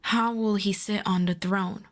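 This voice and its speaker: A woman playing a proud, earnest demon princess in a fantasy audio roleplay, speaking in a formal, deliberate, persuasive way. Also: mid-range voice, steady tone, close to an everyday voice. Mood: surprised, insistent.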